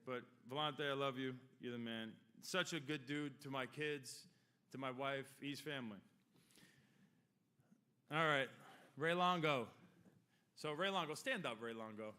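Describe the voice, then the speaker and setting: A man around forty speaks into a podium microphone at a ceremony hall. His voice is bright and forward. He rambles through his acceptance speech, joking one moment and heartfelt the next. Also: medium pitch, unhurried, pauses often, clear, resonant tone. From Long Island, New York. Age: 40s. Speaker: hall of fame inductee.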